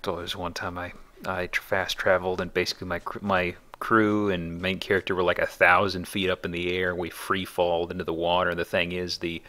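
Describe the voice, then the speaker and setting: A man's voice: sits low, level tone, fairly quick, pushed and bright. A man hosting a let's play, giving casual, conversational game commentary with wry, jokey asides.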